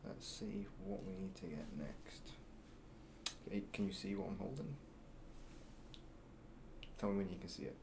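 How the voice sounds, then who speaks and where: A man in his twenties doing a soft-spoken ASMR roleplay as a mechanic, murmuring slowly and haltingly and sometimes slipping toward a whisper. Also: low-pitched, vocal fry, noisy background. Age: 20s. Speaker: ASMR roleplayer playing a robot repair mechanic.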